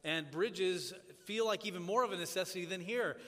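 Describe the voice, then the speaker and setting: A man who grew up in Tanzania, preaching as a pastor in a church, praying and then teaching in a measured, earnest cadence. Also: sits high, a bit bright. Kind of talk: sermon with opening prayer. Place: church sanctuary. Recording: church hall reverb.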